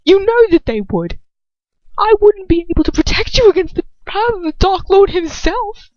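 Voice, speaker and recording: A woman's voice: very high voice, very animated, normal speed, a little mellow, dry recording, highs cut off, pitched above the performer's own voice. A woman voicing a bitter, anguished male villain and lover, speaking with contempt and intensity. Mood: incredulous scorn.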